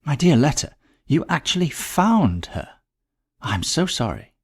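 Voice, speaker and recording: A man's voice: fairly high pitch, very animated, measured pace, husky, pitched above the performer's own voice, heavily acted. A man voicing a genteel, shrewd lady detective in a mystery story, polite, thoughtful and probing. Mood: sympathetic.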